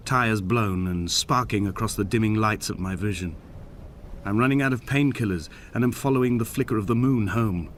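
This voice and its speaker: An actor playing a grieving, injured castaway who narrates a video game in solemn, poetic, feverish monologue. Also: male voice, on the low side, slight background noise, natural voice. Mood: weary. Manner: solemn, poetic, deliberate delivery. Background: music.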